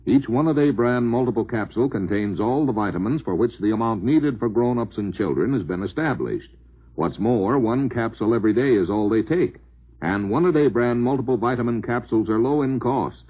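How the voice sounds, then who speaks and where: A man's voice: medium pitch, lively intonation, a little fast, husky. A man reading a 1940s radio advertisement in a persuasive, emphatic way. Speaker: commercial announcer. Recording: thin, phone-like vintage 1940s radio recording.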